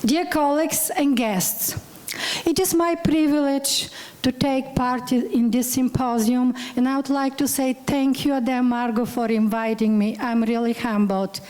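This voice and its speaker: A woman's voice: high voice, steady tone, unhurried, darkish tone, rough recording. A woman from Bulgaria with a Bulgarian accent, a museum director giving an earnest symposium talk and sometimes searching for words. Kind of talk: symposium presentation.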